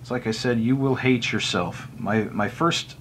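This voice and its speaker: A man's voice: mid-range voice, normal speed, slight background noise. A man giving a casual, unscripted hobby explainer in a folksy, rambling, self-deprecating way. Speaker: hobbyist video presenter.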